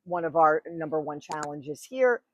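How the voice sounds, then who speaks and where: A woman's voice: sits low, lively intonation, moderate pace. A woman in her sixties with a New York accent, telling her story as a wedding officiant on a podcast, chatty and full of fillers. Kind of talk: podcast interview.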